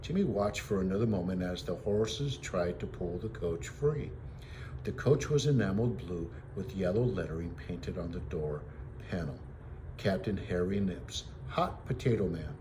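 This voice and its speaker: A man with a fairly low voice reads a children's story aloud like a teacher. His narration is expressive, and there is faint noise in the recording. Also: normal speed.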